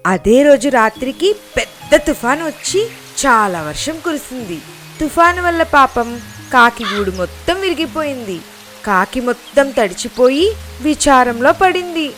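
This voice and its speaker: A woman's voice: high-pitched, very animated, measured pace, a bit bright. A woman narrating scripted moral stories for children as a storyteller. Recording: music behind the voice.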